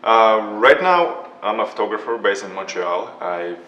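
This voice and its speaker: A man from Ukraine, a photographer, answering interview questions in a soft, halting way with frequent restarts. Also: fairly low pitch, lively intonation, highs cut off. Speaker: guest photographer. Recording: echoey room, mic from a distance.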